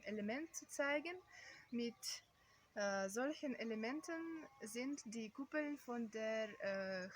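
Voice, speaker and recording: A woman from Russia with a Russian accent gives prepared travel narration as a tour guide, in careful, slightly halting non-native phrasing. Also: a little high. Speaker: vlogger acting as tour guide. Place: outdoors on an island. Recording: very noisy, muffled outdoor amateur recording. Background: loud ambient noise.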